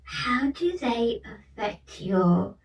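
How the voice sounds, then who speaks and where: Very slow, whispery woman playing a gentle alien. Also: fairly high pitch, sing-song, gravelly, heavily acted. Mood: gently curious. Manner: soft, slow, soothing, often slipping into whisper. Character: gentle, curious female alien researcher from another planet. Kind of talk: ASMR roleplay, soft-spoken and whispered. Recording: roomy echo, distant mic, faint noise.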